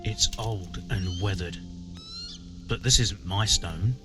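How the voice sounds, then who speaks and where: An actor playing a proud, indignant medieval quarry master in a video game, blunt, grumbling and defensive. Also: male voice, sits low, takes it slowly, music in the background. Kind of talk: video game dialogue acting.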